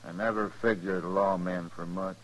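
A bitter hired gunman, acted by a man in a vintage radio western. The voice sits low, and the words come sparse and cold, with flat menace. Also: moderate pace, close to an everyday voice, low-quality recording. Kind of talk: 1950s radio western acting.

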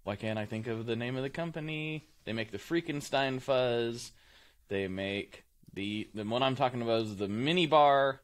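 A man co-hosting a casual podcast, middle-pitched and easygoing. He rambles, searches for words and laughs easily. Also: normal speed. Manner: rambling, loses his train of thought, laughs easily. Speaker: podcast co-host. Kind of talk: casual chat.

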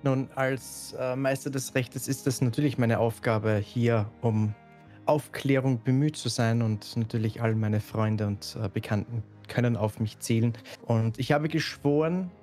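A man's voice: mid-range voice, unhurried, a little mellow, faint music behind, close to an everyday voice. A man playing a diplomatic lord and master of law in a fantasy role-play, formal and hesitant. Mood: earnest.

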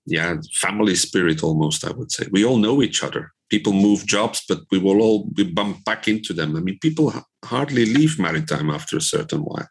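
A man's voice: sits low, animated, a little fast, hardly any pauses, slightly rough. A man in his fifties from Belgium answering in a conversational podcast interview, rephrasing as he goes. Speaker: interview guest, trade association director.

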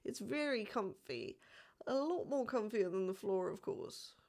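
A man's voice: high-pitched, lively intonation, unhurried, creaky, full character voice. A man playing a bashful young catboy demon who feigns toughness, stammering and pouting in an intimate roleplay audio. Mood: sleepy, pouty. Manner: hesitant, stammering, pouty, softly sighing.

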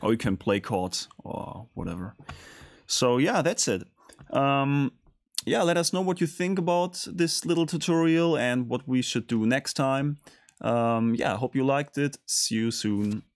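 A man hosting a casual music production tutorial in a studio, talking in a hesitant, halting way. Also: mid-range voice. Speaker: tutorial host.

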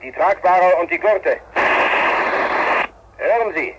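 An actor playing a businesslike expedition leader in a radio drama, giving clipped orders over a walkie-talkie. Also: male voice, high voice, animated, takes it slowly. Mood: urgent, businesslike. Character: businesslike male expedition leader directing a rescue. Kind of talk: radio play acting. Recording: dull, noisy two-way radio link.

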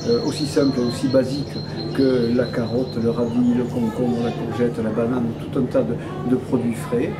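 A man in his fifties speaks at a middling pitch, as if answering an interview. He explains in a measured, hesitant way, pausing often within phrases. Loud ambient noise runs behind him. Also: level tone. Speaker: restaurant owner interviewee. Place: indoor restaurant room.

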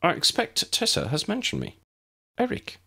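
A man performs a teenage prefect for a storybook. The boy's voice is pitched high and swings about, simpering and over-eager, as if desperate to impress. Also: huge pitch swings, moderate pace, vocal fry, pushed and bright, breathy edge, exaggerated voice. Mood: stiffly polite.

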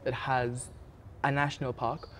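A man's voice: mid-range voice, level tone, a little slow, a bit bright, a little hiss or hum. A young man from Kenya, a singing contestant, answering interview questions in an upbeat, chatty way in an outdoor courtyard. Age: young adult. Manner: upbeat, chatty, laughs easily.

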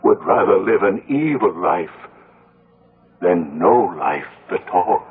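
An actor playing a troubled widowed older father in a radio drama, husky, earnest and hesitant. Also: low voice, sing-song, takes it slowly, narrow, tinny audio, heavily acted. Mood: brooding, earnest. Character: troubled, pondering elderly widowed village father. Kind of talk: 1970s radio drama acting. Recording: band-limited old radio broadcast.

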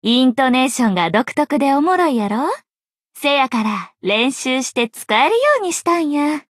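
A young female anime game journalist with a high, very animated voice and a Kansai accent. She is a breezy tease who fibs playfully, coquettish and lilting, in a dry, close recording. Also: moderate pace, no room sound. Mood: teasing, coquettish. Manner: soft, coquettish, lilting dialect with playful teasing. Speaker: game voice actor. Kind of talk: Japanese anime game voice acting.

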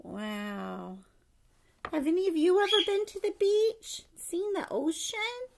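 A middle-aged woman reads a rhyming picture book aloud to young children in an expressive sing-song way, pausing to ask them questions. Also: very high-pitched, gravelly, a little hiss or hum. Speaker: preschool story-time teacher reading aloud. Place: living room at home, indoors.

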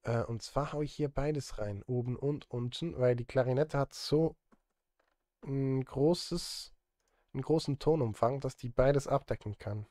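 A man's voice: mid-range voice, unhurried, dark tone, no room sound. Dry, close-miked man. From Switzerland. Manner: self-deprecating, joking asides. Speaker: streamer and film composer. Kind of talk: casual live-stream chat while composing. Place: indoor streaming setup.

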